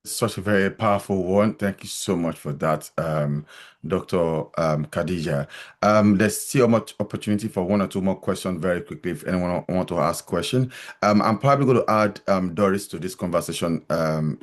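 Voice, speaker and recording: A man moderating a festival panel, speaking in a rambling, hesitant way with many fillers. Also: deep voice.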